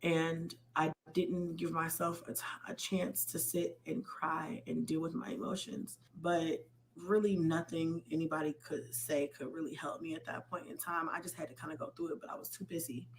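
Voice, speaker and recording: Low, creaky-voiced woman sharing personally. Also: little pitch movement, moderate pace, slight background noise, low-quality recording. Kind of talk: personal reflective storytelling.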